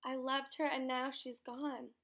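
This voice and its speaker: A woman voicing a male china toy rabbit who thinks quietly to himself in grief. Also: high-pitched, little pitch movement, unhurried, exaggerated voice. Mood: grieving. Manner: quiet, inward, grieving.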